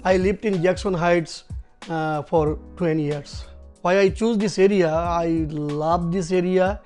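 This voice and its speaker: A middle-aged man with a Bangladeshi accent, a taxi driver speaking in a documentary interview, halting with many fillers and simple phrasing. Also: sits high, steady tone, darkish tone, soft background music. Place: apartment living room.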